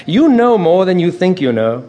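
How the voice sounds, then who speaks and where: An actor playing a witty, languid aristocratic gentleman in a radio drama, speaking in theatrical epigrams. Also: sits high, very animated, moderate pace, highs cut off. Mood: urging.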